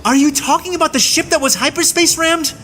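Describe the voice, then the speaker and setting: A man voicing a sincere young woman knight who pleads quickly and earnestly in an audiobook. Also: extremely high pitch, bright, forceful tone, faint music behind, pitched above the performer's own voice. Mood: pleading. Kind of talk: audiobook reading.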